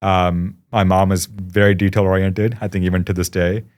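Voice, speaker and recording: A man from India, in his thirties, speaks in a low voice as a podcast guest. He talks in a relaxed, reflective way, filling his pauses with "you know" as he thinks. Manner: thoughtful, reflective, often pausing with "you know". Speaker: guest, startup founder. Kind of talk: casual interview conversation.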